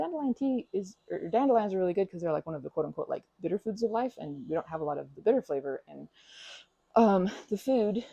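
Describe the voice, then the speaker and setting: Bubbly scattered girl bestie asmr chat, soft mellow tone, close dry sound, rambles with playful excitement. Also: fairly low pitch, gravelly, breathy edge, dry recording. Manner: rambling, scattered, jumping between asides. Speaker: ASMR roleplay creator. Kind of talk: ASMR roleplay as a best friend chatting.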